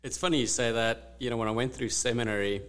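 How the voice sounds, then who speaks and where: A man whose first language is Afrikaans, co-hosting a faith podcast in a relaxed, reflective, friendly way. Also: medium pitch, fast, clean tone. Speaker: podcast co-host. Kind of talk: casual faith podcast chat.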